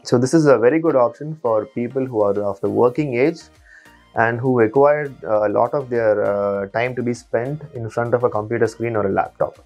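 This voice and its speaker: A man in his thirties, an eye surgeon, calmly and methodically explaining to patients from a small clinic office on a lapel mic. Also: medium pitch, moderate pace, dull audio, soft background music. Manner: calm, methodical, steady explainer. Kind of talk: explanatory patient education talk.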